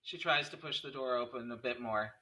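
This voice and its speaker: A man's voice: mid-range voice, a little fast, pushed and bright, poor audio. A man reading screenplay stage directions as the narrator of a table read.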